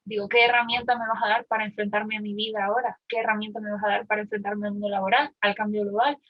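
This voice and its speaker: A woman's voice: medium pitch, little pitch movement, fast talker, muffled recording. A woman in her twenties from Argentina, talking candidly and casually in a personal, reflective way with youthful slang. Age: 20s. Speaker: participant in a group discussion.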